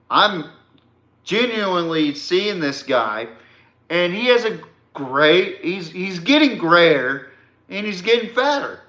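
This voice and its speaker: A man hosting an informal sports podcast, ranting bluntly and mockingly in a halting way with repeated words. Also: high voice, sharp tone, reverberant. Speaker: podcast host.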